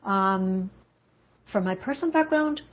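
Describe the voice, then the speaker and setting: A woman from Germany whose first language is German gives a measured talk as a university English instructor, pausing often with um and uh. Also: mid-range voice, expressive, a little hiss or hum. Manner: measured, with frequent um and uh pauses. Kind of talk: prepared talk. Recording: dull lo-fi audio.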